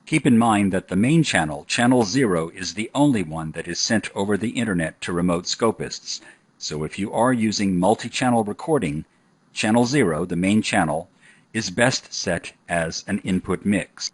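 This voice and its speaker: A man narrating a scripted software tutorial in an instructional style. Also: sits low, expressive, normal speed, husky, dry recording.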